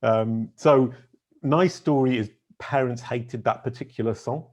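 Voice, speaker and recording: A middle-aged man with a big deep voice, a podcast guest telling long stories in casual conversation, with fillers and self-corrections along the way. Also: unhurried, a little mellow. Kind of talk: casual storytelling interview.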